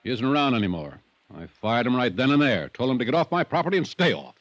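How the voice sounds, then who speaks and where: A man's voice: medium pitch, sing-song, fast, no room sound, lightly acted. An actor playing a middle-aged American ranch owner, a curt, irritable, combative father, in a 1950s radio drama. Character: gruff, defensive, hot-tempered ranch owner and stern father. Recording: dull, dry vintage radio recording.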